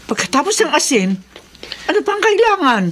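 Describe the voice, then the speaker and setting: A worried, caring mother speaks in a high, very varied pitch. She sounds concerned, in radio drama style with slight background noise. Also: big pitch swings, normal speed, fairly bright tone, heavily acted. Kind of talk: horror radio drama acting.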